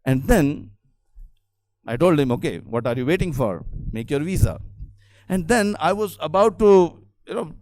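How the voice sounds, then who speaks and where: A man with an Indian accent giving a motivational talk, telling a personal story in a halting way with repeated phrases. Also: on the high side, very animated, darkish tone. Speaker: company founder and trainer.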